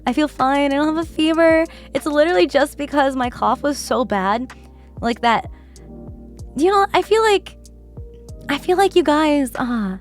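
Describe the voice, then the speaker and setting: A woman streamer chatting casually with her viewers, her voice worn from a bad cough, keeping it lowered and laughing often. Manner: chatty, rambling with fillers. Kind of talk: casual livestream chat.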